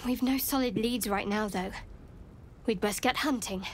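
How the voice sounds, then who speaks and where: A woman's voice: a little high, unhurried, a little hiss or hum, close to an everyday voice. An actress playing a guarded, practical young woman adventurer in a video game, speaking in a cool, dry manner. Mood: guarded, wry. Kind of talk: video game character acting.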